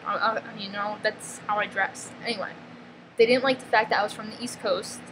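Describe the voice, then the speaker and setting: A woman with a New York accent, talking in a blunt, emphatic confessional vlog, halting and restarting often and filling her speech with "freaking" and "like.". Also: mid-range voice, steady tone. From northeastern US, near New York. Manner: halting, restarts mid-sentence. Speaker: vlogger. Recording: noticeable background ambience noise.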